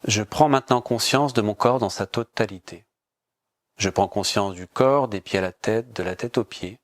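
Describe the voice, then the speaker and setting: A man with a fairly low voice guides a relaxation practice like a yoga teacher. His delivery is calm and measured, with repeated, simple instructions. The sound is dry and close, with little room on it. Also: dry recording.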